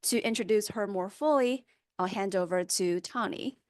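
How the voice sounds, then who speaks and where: A woman's voice: medium pitch, normal speed, no echo, clean studio sound. Woman making event announcements. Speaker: lecture series organizer.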